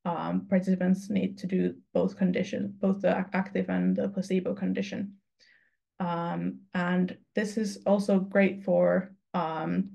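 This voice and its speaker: Young woman from Finland, low mellow voice with vocal fry, earnest conference presenter on a webcam. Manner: earnest, explanatory, with frequent ums and restarts. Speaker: student researcher presenting. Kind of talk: conference talk over slides. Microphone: video call webcam.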